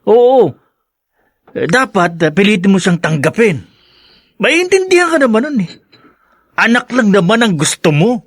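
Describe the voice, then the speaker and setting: A man's voice: high-pitched, sing-song, a little slow, pauses often, no echo, studio quality, full character voice. A man playing a pushy friend in a radio drama, teasing and insistent. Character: pushy male friend giving bad advice.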